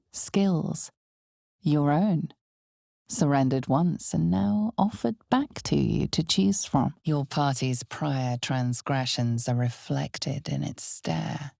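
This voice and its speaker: A grave, ominous female narrator for a dark fantasy video game. Her voice sits very low with a gravelly fry at its edges. She delivers each scripted line slowly and dramatically, with measured weight. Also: no echo, studio quality, exaggerated voice.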